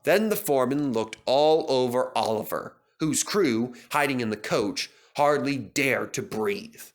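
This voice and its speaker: A man's voice: medium pitch, expressive, measured pace. A man narrating a children's storybook as a dramatic storyteller.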